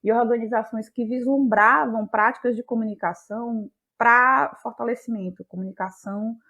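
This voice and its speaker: A woman's voice: a little high, fairly even intonation, normal speed, pushed and bright. A woman in her forties with a Bahian Brazilian accent, talking reflectively as an interview guest, building points emphatically through repeated phrases. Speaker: guest, playwright, actress and journalist.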